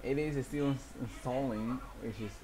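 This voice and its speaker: A man's voice: medium pitch, moderate pace, crowd noise. A man chatting casually on a livestream as a gaming streamer, rambling and hesitant and often repeating himself.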